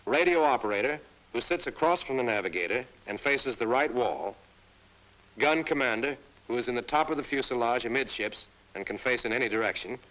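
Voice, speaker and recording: A man's voice: mid-range voice, fairly bright tone, rough recording. Man narrating a military training film. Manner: brisk, direct, addresses the listener as "you". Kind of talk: scripted instructional narration.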